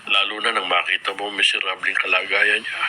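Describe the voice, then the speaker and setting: An actor playing a solemn, protective older father in a Filipino radio drama, explaining in a measured way. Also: sits low, flat delivery, sharp tone. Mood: grave, concerned. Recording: noisy radio drama recording.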